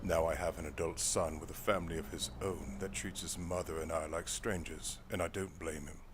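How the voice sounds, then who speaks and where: A man's voice: sits low, steady tone, a little fast, noisy background, voice pushed down, close to an everyday voice. A man playing a formal, dry, weary older private investigator in an audio drama, speaking in precise, wordy sentences. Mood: regretful. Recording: music behind the voice.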